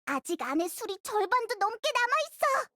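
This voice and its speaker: A woman's voice: very high voice, very animated, normal speed, sharp tone, no room sound, clean studio sound, exaggerated voice. A woman voicing a tiny, childlike fairy companion in an anime game, inquisitive and full of exclamations. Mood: curious, excited. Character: tiny cheerful floating fairy girl companion.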